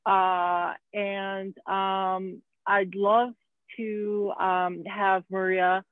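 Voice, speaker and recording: Woman panel moderator, unhurried, dry tinny call audio. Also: medium pitch, level tone, no echo. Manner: slow, hesitant with fillers. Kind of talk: introducing panelists. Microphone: video call.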